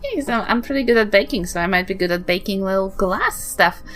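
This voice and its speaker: A woman's voice: mid-range voice, expressive, brisk, a bit bright. A woman with a New Zealand accent chatting casually as a player in a tabletop game. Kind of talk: casual in-character game chat.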